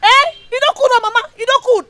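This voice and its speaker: A woman's voice: high-pitched, animated, brisk. A woman with a Nigerian accent playing a hot-headed, defiant, street-tough daughter in a film drama. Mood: furious. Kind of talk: film drama dialogue in Pidgin English. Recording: music behind the voice.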